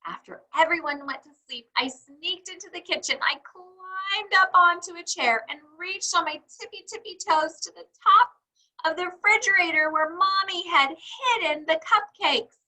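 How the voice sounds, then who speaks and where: A woman reading a storybook to young children, encouraging them and pausing for answers to her questions. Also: extremely high pitch, bright, forceful tone, poor audio. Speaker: read-aloud teacher for young children.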